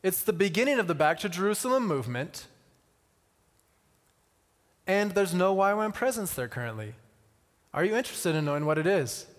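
A man giving a conversational sermon with personal stories, using deliberate pauses and repetition and touches of wry humour. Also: high voice, sing-song. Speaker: missionary speaker. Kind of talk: sermon with personal storytelling to an audience. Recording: reverberant hall.

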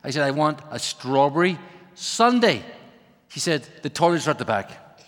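A middle-aged man with an Irish accent preaches with a high, bright, ringing voice. He jokes and then rises to emphatic calls. His words echo with the long reverb of a big church hall. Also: big pitch swings, normal speed. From Ireland. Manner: joking, then rousing and emphatic. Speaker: guest preacher, missionary. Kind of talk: humorous sermon. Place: large church sanctuary.

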